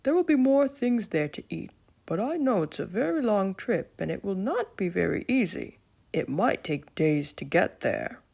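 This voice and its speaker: A clever, courteous lion with a touch of grump, acted by a woman. He speaks like a storybook adviser, measured yet swinging in pitch, with a creaky edge. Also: sing-song, a little mellow, full character voice. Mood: thoughtful. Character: smart, polite, slightly grumpy male lion in a children's story.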